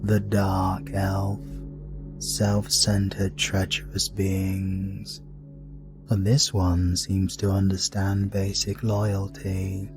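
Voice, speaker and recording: A man playing a haughty, cold demon king with a deliberate, disdainful delivery in a fantasy audio drama with music. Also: low voice, completely flat delivery. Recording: music behind the voice.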